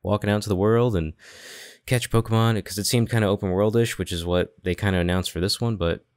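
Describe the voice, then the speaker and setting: A man's voice: lowish voice, fairly even intonation, fast talker, no echo, clean studio sound. A man chatting casually as a co-host on a gaming podcast.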